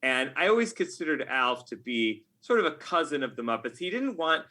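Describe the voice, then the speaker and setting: A man's voice: medium pitch, big pitch swings, fairly quick, bright, forceful tone. A middle-aged man talking casually as a podcast guest, with a wry, self-mocking, nerdy enthusiasm. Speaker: podcast guest, game writer.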